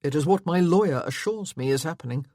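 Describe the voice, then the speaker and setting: A woman voicing a blunt, war-hardened male army officer in a storybook reading, clipped and forceful. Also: sits low, animated, fast talker, no room sound, clean studio sound. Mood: stern.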